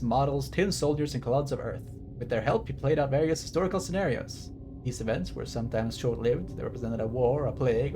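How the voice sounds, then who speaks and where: A man livestreaming a game, reading text aloud and drifting into rambling, enthusiastic asides. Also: medium pitch. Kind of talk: game stream commentary, reading on-screen text aloud and chatting.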